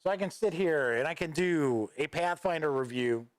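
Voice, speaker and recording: A man's voice: on the high side, expressive, normal speed, dry recording. A man hosting a gaming news livestream, rambling casually as he reads viewer chat aloud and answers it, close on a desk microphone. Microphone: studio microphone at a desk.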